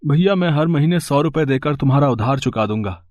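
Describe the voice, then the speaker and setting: Man as a humble, higher-voiced poor labourer. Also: lively intonation, brisk, darkish tone, no echo, dull audio, natural voice. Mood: resigned, kind. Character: poor, humble, weary male labourer and father. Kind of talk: animated moral story voice acting.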